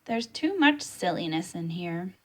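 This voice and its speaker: A woman's voice: fairly low pitch, very animated, unhurried. A woman as a wry, patient old grandfather. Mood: patient, teasing.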